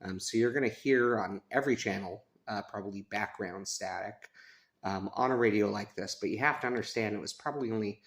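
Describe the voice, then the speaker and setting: A man's voice: lowish voice, fairly quick, fairly bright tone. A man giving a casual, chatty hands-on product review, rambling and self-deprecating as he goes. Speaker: radio reviewer. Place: sunroom at a house.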